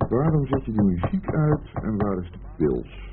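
Radio play boyfriend: lazy grumbler, lowish voice with wide swings. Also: male voice, sing-song, moderate pace, background noise. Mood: grumbling. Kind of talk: radio drama acting. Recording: narrowband old radio recording, music behind.

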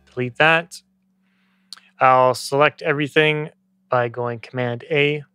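Man in his thirties, mid-pitched voice, conversational step-by-step instructor, dry close recording. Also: fairly bright tone, no room sound. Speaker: music production tutorial instructor. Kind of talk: step-by-step software tutorial, conversational.

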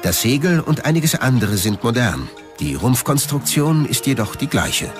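A man's voice: medium pitch, very animated, normal speed, over music. A man reading scripted narration as the narrator of a documentary.

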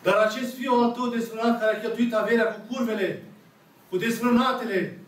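A man in his thirties, an Orthodox priest with a Romanian accent, preaching slowly and emphatically to a seated congregation with long dramatic pauses, recorded by a camera at the back of a small church. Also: extremely high pitch, fairly even intonation. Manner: slow, emphatic, dramatic pauses, voices the characters' words. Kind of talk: sermon retelling a parable. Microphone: camera at the back of the room. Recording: echoey church room, picked up from far off.

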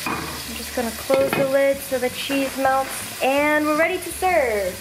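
A woman's voice: sits high, poor audio. A young woman hosting a cooking vlog in her home kitchen, talking casually through each step with a few fillers. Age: young adult. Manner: slow, chatty, thinks aloud with fillers. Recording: background music.

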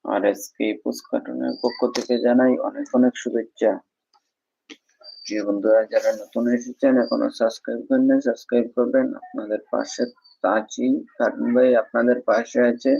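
A man with a gravelly, fairly flat voice addresses livestream viewers. He speaks in repeated, formulaic greetings and appeals as the host. The sound is dry and somewhat dull, like lo-fi stream audio. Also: mid-range voice, moderate pace, no echo.

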